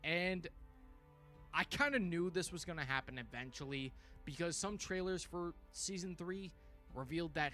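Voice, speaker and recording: A man giving casual, exclamatory fan commentary as a livestream host, his voice tiring as he goes. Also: fairly high pitch. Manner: animated, exclamatory, informal. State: voice tiring, frequent throat clearing. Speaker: livestream host reviewing a TV series.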